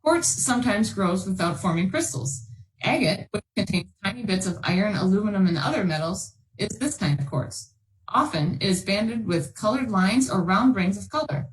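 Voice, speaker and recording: A woman's voice: medium pitch, lively intonation, normal speed, rough recording. A woman hosting a storytime, reading a children's science book aloud and talking with listeners. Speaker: children's storytime host and reader.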